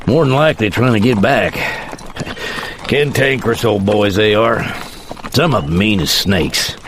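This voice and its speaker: An actor playing a middle-aged frontier father with an American Western drawl, laconic, folksy and measured, in a dramatized western audiobook. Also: lowish voice, background noise, natural voice. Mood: reflective. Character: steady, plainspoken frontier father on horseback.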